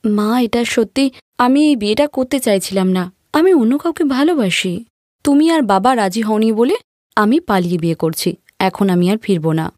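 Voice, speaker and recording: A woman voicing a headstrong, dismissive young bride-to-be in an animated story, impatient and defiant. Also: on the high side, moderate pace, no echo, clean studio sound, lightly acted. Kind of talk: Bengali animated moral story acting.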